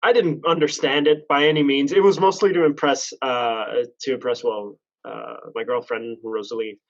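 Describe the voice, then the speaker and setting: A man's voice: medium pitch, steady tone, normal speed, dull audio. A man in his twenties from Canada, chatting as a podcast guest, rambling and correcting himself as he goes, laughing at himself now and then. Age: 20s. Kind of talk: casual chat.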